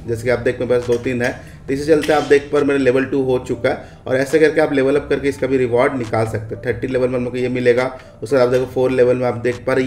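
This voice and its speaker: An Indian man with a mid-pitched voice gives casual game commentary. He talks conversationally, as a host showing viewers around menus, and often tacks on short checking phrases. The recording has a roomy echo. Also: darkish tone. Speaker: gaming video creator and host. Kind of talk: casual screen-capture commentary on game updates.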